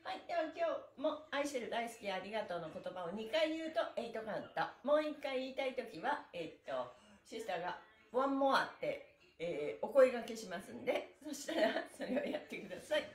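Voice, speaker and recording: A middle-aged woman teaches stretching on a casual livestream. Her voice is on the high side and a little hoarse, with lively swings in pitch. She is chatty, cheerful and very polite, heard from a little distance in an echoey room. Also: fairly quick. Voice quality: slightly hoarse. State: hoarse the morning after a performance and party. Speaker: stretching instructor and livestream host. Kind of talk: casual live exercise lesson with counted chants. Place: small bare-walled indoor room. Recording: roomy echo from camera distance.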